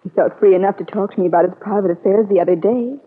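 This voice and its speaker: Radio soap niece, played by a woman: young, disillusioned, bitter, breathy-husky voice, very narrow vintage sound. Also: medium pitch, quick pace, close to an everyday voice. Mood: hurt. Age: young adult. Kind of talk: old-time radio soap opera acting. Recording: tinny vintage radio recording.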